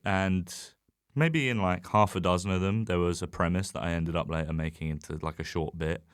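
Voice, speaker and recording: A man's voice: deep voice, steady tone, brisk, smooth, no room sound, clean studio sound. A man chatting casually as a comedian guest on a podcast, rambling, self-deprecating and sweary. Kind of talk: casual chat.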